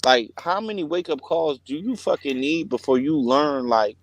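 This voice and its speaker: A man in casual group chat, telling stories in a profane, animated way. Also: on the high side, normal speed, dry recording. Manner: profane, animated storytelling with frequent laughs. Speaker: participant in an online hangout.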